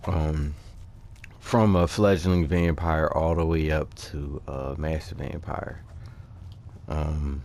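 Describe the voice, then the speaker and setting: A man's voice: rumbling low, a little mellow. A man giving casual, laid-back, slangy commentary over his own gameplay. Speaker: gaming host.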